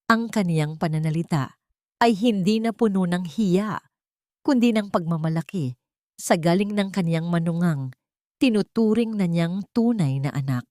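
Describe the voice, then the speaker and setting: Female narrator for late-night drama fiction, lowish voice, solemn measured delivery, very dry recording. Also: a little mellow, no echo. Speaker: story narrator.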